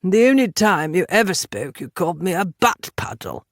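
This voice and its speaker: Audiobook role: a woman as a moneyed English gentleman, haughty, measured, formal, with very varied intonation. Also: lowish voice, sing-song, no echo, studio quality. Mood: disdainful. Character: wealthy, condescending English gentleman of long acquaintance.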